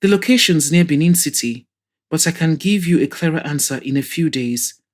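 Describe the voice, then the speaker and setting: A woman's voice: low voice, lively intonation, moderate pace. A woman reading the part of an easygoing, joking married man among friends in an audio drama. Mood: casual.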